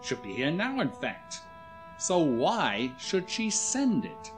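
An actor playing a crusty, irritable older man, gruff, curt and nervously stammering, in a 1940s radio play. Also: fairly high pitch, wildly swooping pitch, slow pace, heavily acted. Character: crusty, jittery, irritable older American father. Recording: vintage 1940s radio, music behind.

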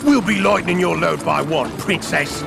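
A man's voice: fairly high pitch, normal speed, gravelly. A man voicing a gloating villainous cartoon henchman who sneers and mocks. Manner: sneering, mocking. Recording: game audio with music behind.

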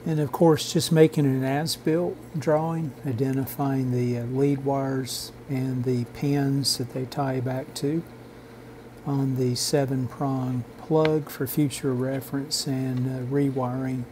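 A man narrating an instructional repair video in a halting, measured, technical way. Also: medium pitch, flat delivery, background noise. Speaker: host of a radio repair channel.

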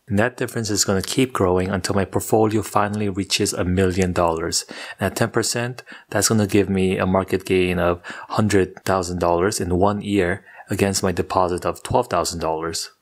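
A young man talking through his investing goals in a scripted video, with a steady, monotone delivery. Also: sits low, moderate pace. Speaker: personal finance YouTuber. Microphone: studio microphone off camera.

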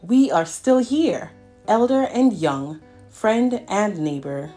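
A woman hosting a children's storytime, reading a picture book aloud with deliberate, expressive phrasing. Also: medium pitch. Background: guitar music.

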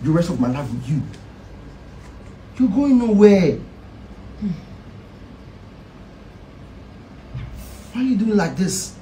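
Male actor as begging husband, Nigerian accent, very animated pitch, insistent, repetitive, desperate, drama scene, faint background noise. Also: recorded from a distance, natural voice, low-quality recording. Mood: pleading. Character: pleading, desperate husband begging his wife to stay.

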